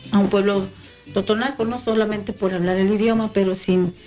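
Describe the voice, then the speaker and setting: A woman's voice: medium pitch, fairly even intonation, normal speed, warm tone. Hesitant woman, music behind. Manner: hesitant, many fillers and restarts. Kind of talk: casual conversation.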